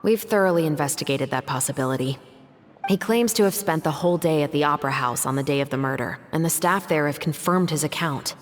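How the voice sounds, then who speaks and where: A woman playing a composed, serious investigator and patrol captain in a video game, speaking in a measured, articulate way. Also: low voice, faint music behind, natural voice. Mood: measured, thoughtful.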